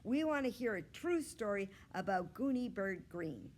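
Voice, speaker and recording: A woman's voice: mid-range voice, very animated, normal speed, a little mellow. A woman voicing eager, impatient young schoolchildren in a storybook, calling out emphatically in class. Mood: eager, insistent.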